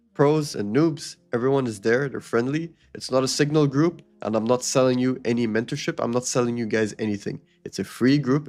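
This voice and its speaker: A man hosting a live trading stream, explaining charts and answering chat in a casual coaching way, often checking in with "okay?". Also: medium pitch. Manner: reads viewer comments aloud and answers them. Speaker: livestream trading host.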